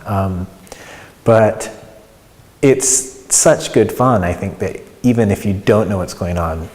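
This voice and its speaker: Interviewee: American man, very low voice, thoughtful conversational delivery, hall reverb. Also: darkish tone. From United States. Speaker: community theatre participant. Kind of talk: reflective interview talk.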